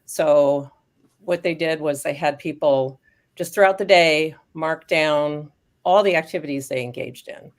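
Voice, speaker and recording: A woman speaking as a guest expert on aging dogs, explaining research in a thoughtful, casual way with frequent pauses and restarts. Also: low voice. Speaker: guest expert on canine cognitive decline.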